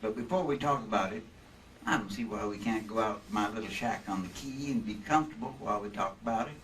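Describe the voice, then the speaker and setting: A man's voice: lowish voice, quick pace, a bit bright, lightly acted. Man playing easygoing manager, picked up from afar. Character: easygoing, lanky, joking Florida insurance manager, a married man. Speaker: actor in a radio play re-creation. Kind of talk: old-time radio acting. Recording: distant live stage pickup, faint noise.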